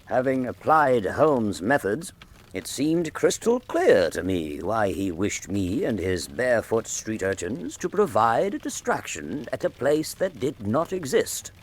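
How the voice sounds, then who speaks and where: An actor playing the narrator of a Sherlock Holmes style detective mystery, measured and theatrical in his storytelling. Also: medium pitch, big pitch swings. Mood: grave, dramatic. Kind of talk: dramatic scripted narration.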